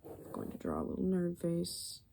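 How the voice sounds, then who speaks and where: Asmr girl rambling softly, super gravelly fry, mellow and kinda hesitant, thinking out loud. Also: lowish voice, sing-song, moderate pace, slight background noise. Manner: hesitant, musing, thinking aloud while drawing. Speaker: ASMR video creator. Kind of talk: ASMR, soft rambling explanation.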